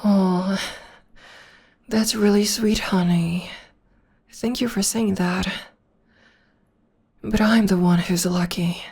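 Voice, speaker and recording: A young woman voicing a tender, protective witch girlfriend in an ASMR roleplay, speaking softly and often whispering close to the microphone. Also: medium pitch, fairly even intonation, takes it slowly, creaky, pauses often, slightly rough, exaggerated voice. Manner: soft and soothing, often whispering. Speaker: voice actor.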